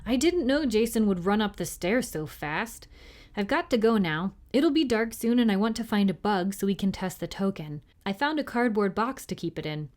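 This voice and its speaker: A moody young schoolboy from a storybook, voiced by a woman. He keeps to himself and speaks with reluctance, in a medium-pitched voice. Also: animated, moderate pace, a little mellow, natural voice. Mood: hurried. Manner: muttering, reluctant.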